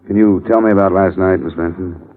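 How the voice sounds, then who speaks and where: Fast-talking hard-boiled radio detective played by a man. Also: low-pitched, fairly even intonation, slight background noise, natural voice. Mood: calm, probing. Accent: American. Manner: clipped, businesslike, matter-of-fact. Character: brisk, hard-boiled male freelance insurance investigator. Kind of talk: 1950s radio detective drama acting and narration. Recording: tinny old radio recording, music behind.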